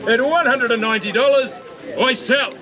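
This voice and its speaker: High-voiced New Zealand auctioneer chanting bids. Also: male voice. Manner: rapid repetitive chanting of bids, coaxing the buyers. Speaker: livestock auctioneer. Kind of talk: auction bid calling. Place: outdoor livestock saleyard. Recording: loud crowd and livestock noise at an outdoor sale.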